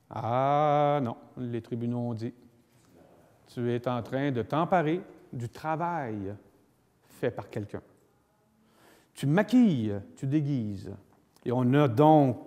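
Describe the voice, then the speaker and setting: A man's voice: fairly high pitch, darkish tone. A man with a Quebec French accent lecturing like a lawyer, explaining didactically with emphatic repetition and acted-out examples. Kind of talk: public lecture on law with spoken examples. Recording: long echoing hall reverb.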